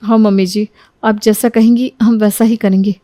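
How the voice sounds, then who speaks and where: A woman voicing an obedient young bride who speaks in a hurried, nervous way. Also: medium pitch, little pitch movement, warm tone, dry recording, clean studio sound, close to an everyday voice.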